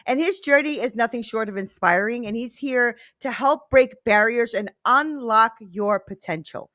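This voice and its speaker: An enthusiastic woman interviewer speaks in a casual, gushing way, often checking in with "right?". Her voice sits fairly high. The recording is dry and close. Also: moderate pace, pushed and bright, dry recording. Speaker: podcast host.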